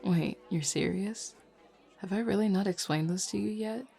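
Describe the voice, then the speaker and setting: A woman's voice: mid-range voice, normal speed, gravelly, warm tone, close to an everyday voice. A woman playing a flirty, self-assured werewolf pack beta in a roleplay audio drama, talking casually and teasingly to the listener. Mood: calm, explaining. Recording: lo-fi music bed behind voice.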